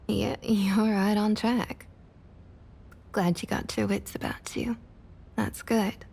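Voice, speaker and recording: Slow, creaky Southern vampire woman, acted, softly husky. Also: mid-range voice, full character voice. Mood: amused, coaxing. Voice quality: soft, breathy, slightly husky. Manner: unhurried, coaxing, condescending sweet talk. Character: smug, opportunistic female Southern vampire council member. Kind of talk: ASMR roleplay, intimate scripted monologue.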